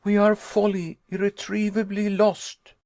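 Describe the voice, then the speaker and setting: A man reading an audiobook, voicing the narrator's terrified young self, who cries out in shrill panic. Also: little pitch movement, unhurried, a little mellow, dry recording, studio quality. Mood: panicked.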